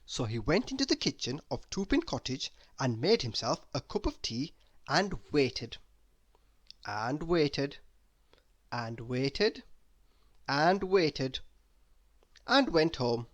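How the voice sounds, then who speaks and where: A woman reading a children's story aloud as an expressive narrator. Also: a bass voice, takes it slowly, long pauses. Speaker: story reader.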